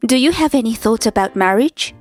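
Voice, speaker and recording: A woman acting as a young female office worker in a romantic manga dub, with a fairly high voice. She is polite and persistent, speaking neatly over soft background music. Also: expressive, fairly quick. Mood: hopeful, probing. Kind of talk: scripted manga-dub dialogue.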